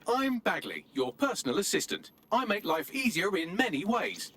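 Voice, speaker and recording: A man's voice: high-pitched, sing-song, moderate pace, a bit bright, husky, full character voice. A man playing an articulate, superior AI assistant in a video game, speaking in precise, formal, machine-like phrases. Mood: anxious, chirpy. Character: male AI assistant, articulate, superior, glitching, wistful.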